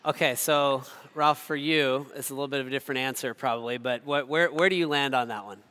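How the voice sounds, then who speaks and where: A man's voice: medium pitch, fairly even intonation, fast, pushed and bright. A man hosting a conversation, putting questions to guests informally in a reverberant space. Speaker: host moderating a conversation. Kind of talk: conversational interviewing. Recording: reverberant hall.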